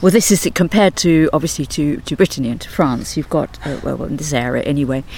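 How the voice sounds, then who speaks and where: Chatty man, high-ish voice. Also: brisk, a little mellow, a little hiss or hum. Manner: chatty, encouraging, finishes guests' thoughts. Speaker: radio interviewer. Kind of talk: casual interview.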